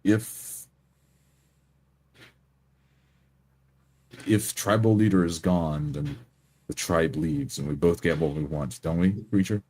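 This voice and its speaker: A man's voice: very deep voice, a little slow, a little mellow. A man playing a stern, blunt adventurer who lays down terms in a commanding, threatening way. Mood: calculating. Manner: commanding, blunt, spells things out.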